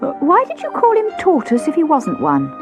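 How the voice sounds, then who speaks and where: A woman's voice: sits high, wildly swooping pitch, moderate pace, over music, pitched above the performer's own voice. A woman voicing a polite, curious young girl asking questions.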